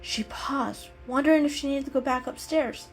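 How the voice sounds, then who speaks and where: A woman storyteller giving an expressive, unhurried dramatic reading of an animal story. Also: sits high, faint music behind.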